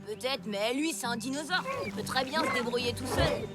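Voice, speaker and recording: Woman voicing a high-voiced smug boy bully. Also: normal speed, natural voice. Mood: dismissive. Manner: taunting, sarcastic. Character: smug, mocking young cave boy bully. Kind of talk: French cartoon dubbing. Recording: loud cartoon music behind the voice.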